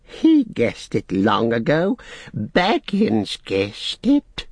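Storybook creature: wretched and sly, croaky, very slow, pitch leaping about, dry close sound. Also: male voice, wildly swooping pitch, darkish tone, no room sound, exaggerated voice. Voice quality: croaking, strained.

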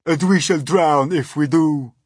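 A gloomy storybook earthworm, complains in a high, wildly swooping voice. He is indignant and melodramatic, forever sure of doom, with a husky rasp. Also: animated, a little slow, no echo, studio quality, heavily acted. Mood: alarmed.

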